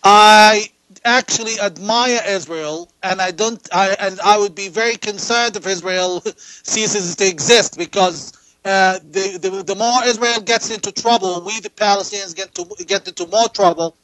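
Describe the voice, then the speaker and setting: A man from Jordan, answering as an interview guest in halting phrases with repeated words. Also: high voice, fairly bright tone, poor audio. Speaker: interview guest, political activist and writer.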